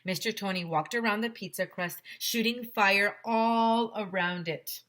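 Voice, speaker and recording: A woman teacher reading a children's story aloud in an expressive, simple storytelling manner. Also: mid-range voice, measured pace.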